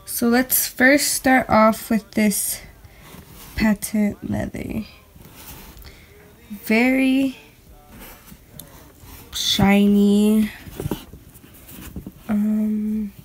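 A woman giving a casual, unscripted product review in a hesitant, halting, informal way, recorded on a handheld device in a bedroom. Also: mid-range voice, darkish tone. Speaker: sneaker reviewer. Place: bedroom at home. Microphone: handheld phone or camera. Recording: clicks and light background noise.

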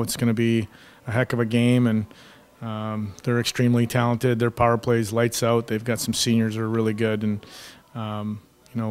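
Steady middle-aged American coach, flat delivery. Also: male voice, mid-range voice, clear, resonant tone. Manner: measured, with frequent ums and uhs. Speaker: head coach. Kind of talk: post-game press conference answers.

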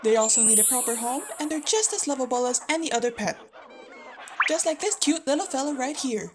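A woman's voice: high-pitched, lively intonation, fairly quick, noisy background. A woman voicing a friendly talking puppy who patiently teaches a boy about pet care. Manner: cheerful, explaining patiently. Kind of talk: student animated comic voice acting.

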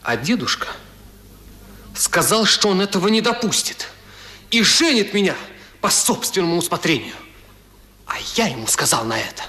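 Ardent high-voiced young gentleman. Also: big pitch swings, moderate pace, pauses often, exaggerated voice. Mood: indignant, proud. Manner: ardent, emphatic. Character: ardent, proud young English gentleman in love. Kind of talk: 1970s Soviet radio play acting.

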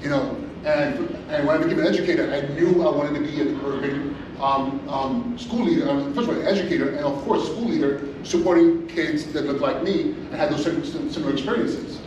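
A middle-aged man from California, a school principal giving an animated conference talk with many restarts and fillers. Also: a little high, a little fast, hardly any pauses. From Inglewood, California. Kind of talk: conference presentation. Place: large hotel meeting room. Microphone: far camera, no mic. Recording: echoey room from far off, audible noise.

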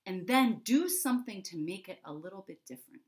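A middle-aged woman speaking to young children in an expressive, conversational way, with emphatic pauses, as an author reading and explaining. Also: medium pitch. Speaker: children's author reading her book and giving a writing prompt. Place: small indoor home room.

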